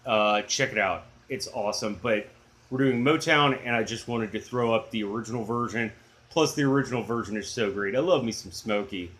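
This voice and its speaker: Middle-aged male music vlogger, booming low baritone, chatty, self-mocking. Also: a little fast, a bit bright. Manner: rambling, enthusiastic, self-deprecating. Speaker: music channel host. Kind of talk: casual chatty video blog.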